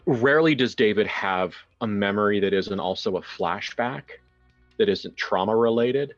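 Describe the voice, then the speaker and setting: Male tabletop storyteller, lower register, halting improvised delivery, slightly lo-fi sound with music underneath. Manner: halting, searching for words with repeated phrases. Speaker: tabletop role-player narrating his character. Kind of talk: improvised game narration. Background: background music.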